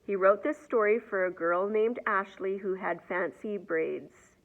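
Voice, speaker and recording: A woman reading a children's picture book aloud in an expressive storyteller's manner. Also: mid-range voice, a little slow, narrow, tinny audio. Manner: expressive, asks the young listeners questions.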